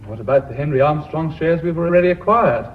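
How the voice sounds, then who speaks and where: Man doing worried business guy in radio play, highish voice, tinny narrow old sound. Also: a little fast, natural voice. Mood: worried, protesting. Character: anxious, protesting British businessman. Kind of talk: radio drama acting. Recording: thin, phone-like vintage radio recording.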